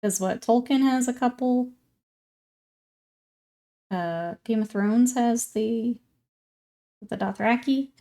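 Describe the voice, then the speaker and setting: A young woman with a somewhat high voice talks easily through a live stream. She thinks aloud while painting miniatures and reads out viewer comments in a relaxed, chatty way. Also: slow, long pauses.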